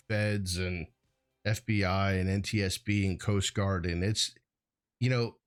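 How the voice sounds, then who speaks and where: An American man hosting a show, talking in a casual, rambling, conversational way peppered with "you know". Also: lowish voice. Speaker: host of a hazmat show.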